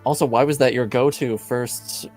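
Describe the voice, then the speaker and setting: A man playing a reserved, dry, earnest character in a tabletop game, with deadpan, formally phrased lines. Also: mid-range voice, faint music behind, close to an everyday voice. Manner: deadpan, measured, formal phrasing with hesitant pauses. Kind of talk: improvised tabletop roleplay dialogue.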